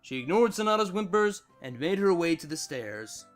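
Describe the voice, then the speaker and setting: Animated fiction narrator, mid-range voice between male and female, soft music underneath. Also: medium pitch, normal speed. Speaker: narrator, fan fiction reader. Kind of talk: expressive scripted storytelling narration.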